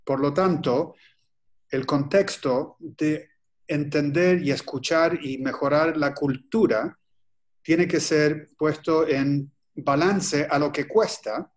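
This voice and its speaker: Halting, slightly high-voiced man from Chile. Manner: halting and deliberate, frequent "eh" and restarts. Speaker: guest presenter. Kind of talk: webinar presentation. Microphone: video call.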